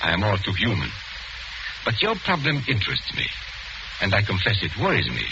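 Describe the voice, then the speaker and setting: An actor playing a suave, theatrical stage magician with wry, morbid humour in 1940s radio mystery style. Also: male voice, on the low side, huge pitch swings, a little fast. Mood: grave, measured. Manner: urbane, theatrical, quoting grandly. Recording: muffled, noisy 1940s radio recording.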